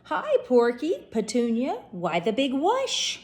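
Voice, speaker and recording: A woman voicing a gullible male cartoon gardener, speaking high and fussy with lisped r's. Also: huge pitch swings, takes it slowly, darkish tone, heavily acted.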